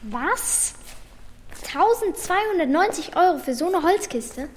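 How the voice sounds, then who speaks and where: A woman's voice: extremely high pitch, expressive, measured pace, a little hiss or hum. A woman playing a curious, frank child in a radio play, with a blunt, inquisitive way of talking. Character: curious, frank child, Bela's playful friend. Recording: long hall reverb.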